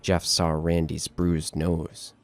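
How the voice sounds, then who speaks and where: A man's voice: low-pitched, monotone, takes it slowly, soft background music. A man narrating a scripted horror story.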